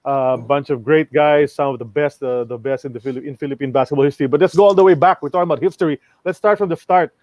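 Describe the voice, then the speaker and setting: A man's voice: fairly high pitch, quick pace, hardly any pauses, a little mellow, no echo. A man hosting a podcast who introduces the guest and asks questions in a casual way. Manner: casual, with frequent fillers. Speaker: podcast host.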